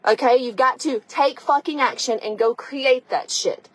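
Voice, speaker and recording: A woman's voice: sits high, moderate pace, no room sound. A woman podcast host and coach giving a blunt, profane, emphatic tough-love motivational talk, speaking conversationally and straight to the listener. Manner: conversational, addressing the listener directly.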